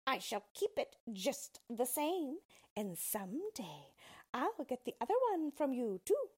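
A woman's voice: high-pitched, very animated, slow pace, darkish tone, slightly rough, voice pushed up, full character voice. A woman voicing a greedy, gloating, wicked witch in a children's storybook, hissing and shrieking her menacing words.

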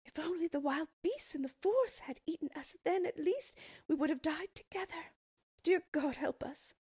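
A woman's voice: extremely high pitch, very animated, normal speed, warm tone, voice pushed up. A woman voicing a frightened young girl who pleads tearfully. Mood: pleading.

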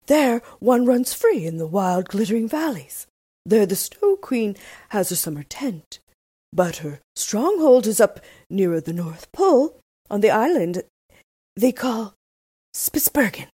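A woman's voice: mid-range voice, sing-song, unhurried, warm tone, breathy edge, dry recording, studio quality, voice pushed up. Woman doing a proud wistful reindeer. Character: proud, longing male reindeer.

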